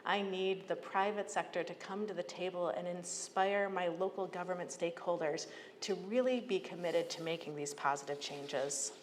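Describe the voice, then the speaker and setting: A woman's voice: on the low side, steady tone, normal speed. American woman speaking in an echoey hall. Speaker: public agency leader giving a presentation. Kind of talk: prepared advocacy speech to a conference. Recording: echoey hall, clear background noise.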